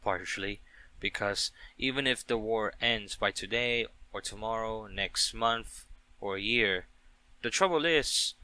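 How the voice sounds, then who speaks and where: A man's voice: fairly low pitch, measured pace, sharp tone, clear, resonant tone, low-quality recording. A man speaking directly to listeners as a video creator, giving personal commentary in an earnest, rambling, self-interrupting way.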